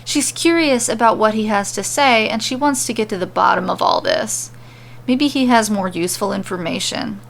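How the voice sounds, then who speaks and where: A woman's voice: mid-range voice, moderate pace, vocal fry. A woman narrating scripted comedic fan fiction as a storyteller.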